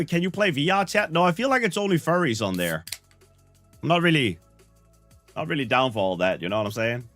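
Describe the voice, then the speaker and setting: A man's voice: on the high side, very animated, brisk, long pauses, fairly bright tone, faint music behind. A man with a Danish accent, joking and rambling to his chat while he plays a game, a little under the weather. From Denmark. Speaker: streamer. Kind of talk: live-stream chat while playing a game.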